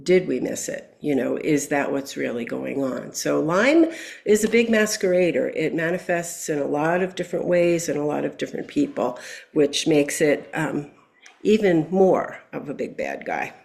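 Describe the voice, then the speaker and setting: A woman's voice: low voice, moderate pace, gravelly. Woman explaining steadily on a video call. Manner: explanatory, steady, many fillers. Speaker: guest health practitioner. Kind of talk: interview answer.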